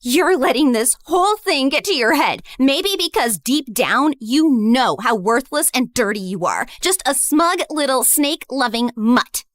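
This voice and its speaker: A woman's voice: sits high, expressive, a little slow, few pauses, no room sound. A woman voicing Jessica, a bitter, cruel young woman who sneers and mocks. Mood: bitter, mocking. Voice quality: sneering, bright.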